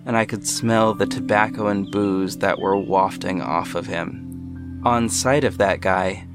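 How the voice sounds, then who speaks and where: A man's voice: low voice, steady tone, unhurried. A man narrating an audio drama in the first person, in a reflective storytelling style. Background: music under the voice.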